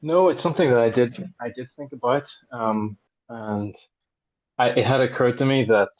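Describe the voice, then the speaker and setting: A man from Ireland, a translator on a panel, answering thoughtfully with many pauses and restarts over a video call. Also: medium pitch, a little mellow, dull audio. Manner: hesitant, many ums and restarts. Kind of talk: conversational answer in a panel discussion.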